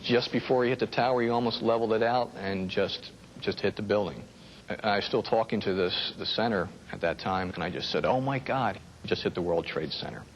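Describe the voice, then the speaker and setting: A man with an American accent recalling events in an interview, halting and repeating words as he goes. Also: fairly low pitch, slight background noise, poor audio. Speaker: air traffic controller interviewee. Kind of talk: first-hand recollection in interview.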